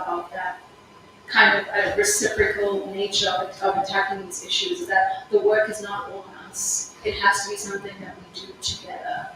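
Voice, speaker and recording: A woman speaking on a panel discussion in an earnest, reflective way, asking many rhetorical questions. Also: medium pitch. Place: large conference room at an event. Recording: echoey room, distant mic, background noise.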